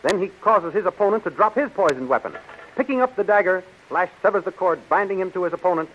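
A man's voice: sits high, lively intonation, fast talker. A man with an American accent narrating a 1930s radio serial in a dramatic, declamatory way. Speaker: announcer and narrator. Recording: muffled, hissy 1930s radio recording.

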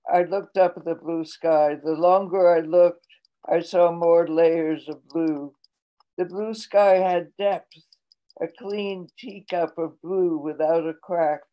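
A woman in her eighties reading her own poetry aloud in a slow, measured way over a video call from her home. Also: on the low side, fairly even intonation. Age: 80s. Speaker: poet reading her work. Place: home room, indoors. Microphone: laptop webcam on video call. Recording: dull lo-fi video call audio.

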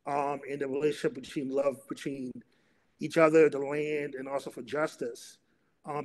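A middle-aged man moderating a panel and introducing its topic and speakers, in a halting delivery that often repeats words. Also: medium pitch, a little mellow. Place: remote video call.

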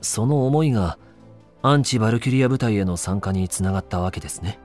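An actor playing a courteous, inquisitive newspaper reporter in a Japanese video game, a man with a measured way of talking. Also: lowish voice, animated, darkish tone, soft background music, lightly acted. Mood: courteous, probing. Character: courteous, probing young newspaper reporter interviewing royalty.

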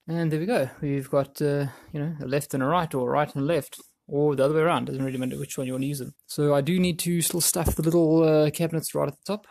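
A man presenting a hands-on how-to in a casual, offhand way, thinking aloud as he works, with a husky, creaky voice. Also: a little high, a little mellow. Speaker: DIY video presenter.

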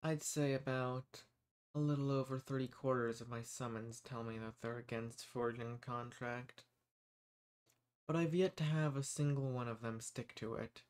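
A man voicing an arrogant, menacing archdemon in a dramatic audio roleplay, speaking in a condescending, theatrical, unhurried manner. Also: mid-range voice, fairly even intonation, clean tone, natural voice. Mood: mocking. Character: arrogant, menacing male archdemon who strikes bargains.

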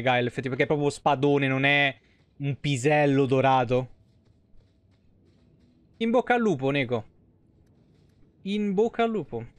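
A man's voice: high voice, dry recording. A man in his thirties, a game streamer talking casually and informally in speech on a close mic. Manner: informal, crude slang. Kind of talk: casual live commentary.

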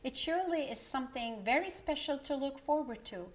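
A middle-aged woman reading a children's storybook aloud in an expressive, emphatic way, with explanatory asides to young listeners. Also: sits high, brisk, highs cut off, slight background noise. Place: indoor living room.

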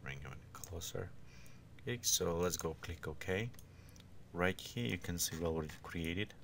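Male tutorial instructor, non-native English, creaky voice, unscripted and halting, recorded with background noise and keyboard clicks. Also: sits low, animated, fairly bright tone. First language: not English. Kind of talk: informal step-by-step screen tutorial.

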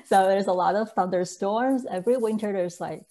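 Soft-toned young woman on a video call. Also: mid-range voice, a little fast. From southeast China. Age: young adult. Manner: hesitant, many fillers and restarts. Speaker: choreographer guest. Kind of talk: casual conversational answers. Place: large empty loft room with brick walls, indoors. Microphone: webcam on a video call.

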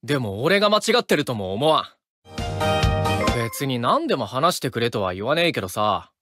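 Man playing an earnest hot-blooded teen boy, hugely animated. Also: mid-range voice, moderate pace, loud music behind the voice, close to an everyday voice. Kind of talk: Japanese anime game voice acting.

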